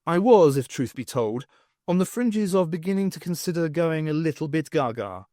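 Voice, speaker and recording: A male audiobook narrator tells a story in a slightly high voice. His pitch moves around a great deal as he gives an expressive, dramatic reading. The sound is very dry, with no room around it. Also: normal speed, no echo. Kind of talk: scripted dramatic narration of fiction.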